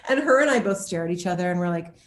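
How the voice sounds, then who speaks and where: A woman's voice: lowish voice, racing. Echoey, chatty woman in an interview. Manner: animated, chatty, laughs often as talking. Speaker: actor being interviewed. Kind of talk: casual interview chat, telling anecdotes.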